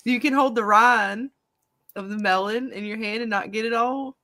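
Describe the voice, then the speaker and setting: A woman's voice: fairly high pitch, normal speed, bright, forceful tone, dry recording. A woman hosting a live call-in discussion. She talks in an enthusiastic, rambling way and giggles often. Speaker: show host. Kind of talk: casual live panel chat.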